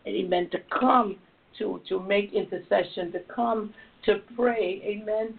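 A hoarse woman hosting a prayer line, leading intercession fervently and repeating words of praise. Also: mid-range voice, measured pace. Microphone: phone call. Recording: tinny phone line.

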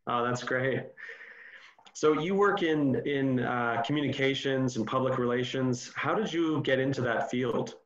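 A man's voice: mid-range voice, a little slow, a bit bright. A man hosting a podcast, welcoming his guest and asking easygoing interview questions. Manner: easygoing, laughs along. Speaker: podcast host. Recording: roomy, muffled lo-fi sound.

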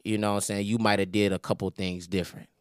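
Chill guy in his 20s on a podcast, low smooth voice, lots of slang, dry sound. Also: little pitch movement, quick pace, dry recording. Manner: laid-back slang, frequent "you know what I'm saying". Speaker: podcast guest. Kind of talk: casual interview talk.

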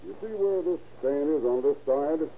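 An actor playing a shrewd, authoritative police captain in an old radio crime drama, reasoning deliberately. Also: male voice, fairly high pitch, lightly acted. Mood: methodical, suspicious. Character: shrewd, methodical male police captain, homicide detective. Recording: muffled, noisy old radio recording.

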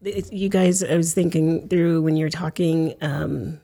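A woman's voice: low-pitched, fairly even intonation, measured pace, mellow. A woman hosting an interview in a casual chat style, talking in reflective, rambling sentences full of restarts. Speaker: podcast host.

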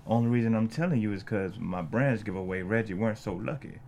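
Man playing old bluesman, gravelly and creaky, bitter, menacing, table-read drama, noisy room recording. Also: fairly low pitch, fast talker, a little mellow, lightly acted. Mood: haunted, bitter.

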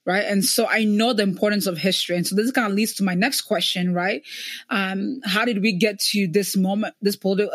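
Female podcast host, medium pitch, conversational and reflective, often tagging points with a question, very little room sound. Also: no echo. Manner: reflective, punctuates points with "right?". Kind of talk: conversational interview, personal anecdote.

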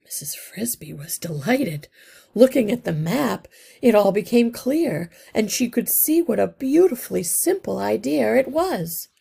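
A woman teacher narrating a children's novel aloud, slow, deliberate and expressive. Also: on the high side, creaky, breathy edge.

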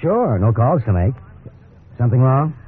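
A man's voice: mid-range voice, big pitch swings, moderate pace, natural voice. An actor playing a breezy, incredulous American salesman husband in a 1950s radio drama, wry and conversational. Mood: puzzled. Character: cheerful, incredulous suburban husband, an encyclopedia salesman. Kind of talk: 1950s radio drama acting with wry first-person narration. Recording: tinny, hissy 1950s radio recording.

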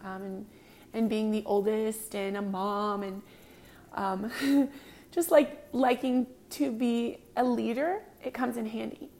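A woman's voice: a little high, steady tone, slow pace, darkish tone. A woman in her thirties hosting her own podcast, talking in an earnest, confessional way with many ums. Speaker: podcast host. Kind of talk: casual personal talk.

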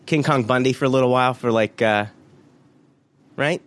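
A man's voice: medium pitch, moderate pace. A man hosting a podcast, casually reading out listener reviews, laughing often and swearing offhandedly.

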